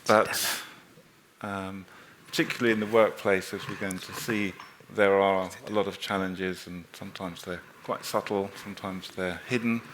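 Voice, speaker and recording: A man's voice: low voice, fairly even intonation, moderate pace, fairly bright tone, slight background noise. A man moderating a conference panel, polite and inviting, with many hesitant fillers. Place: conference stage in a hall.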